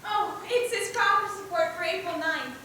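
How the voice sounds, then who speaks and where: Casting: young actress as an encouraging, protective female teacher, bright and very high-voiced, in a cavernous empty theatre. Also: moderate pace. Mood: concerned pride. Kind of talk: amateur student stage acting. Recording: echoey stage, distant mic, low rumble.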